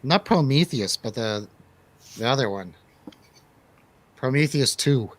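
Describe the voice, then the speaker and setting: A man's voice: medium pitch, expressive, measured pace, no room sound. A man in casual movie review conversation, talking in an enthusiastic, slangy way. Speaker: film review show host.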